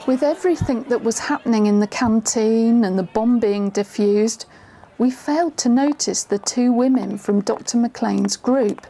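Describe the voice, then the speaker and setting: A female narrator played by an actress tells a first-person drama in a measured, suspenseful way. Her voice is fairly high and mellow, with a little noise in the background. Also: low-quality recording. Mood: suspenseful narration. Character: female storyteller recalling a tense witnessed drama.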